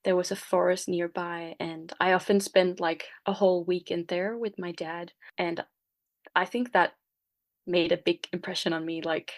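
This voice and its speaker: A young woman with a Danish accent, a guest talking casually in an interview, often pausing with fillers and restarting her sentences. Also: fairly low pitch, steady tone. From Denmark. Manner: hesitant, many fillers and restarts. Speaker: guest artist. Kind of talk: casual conversation.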